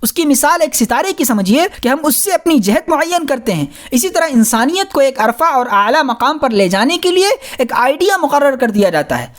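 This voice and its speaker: A woman narrates a scholarly audiobook in a high voice. Her intonation rises and falls in a very lively way, while her delivery stays formal and measured, as scripted reading. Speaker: audiobook reader.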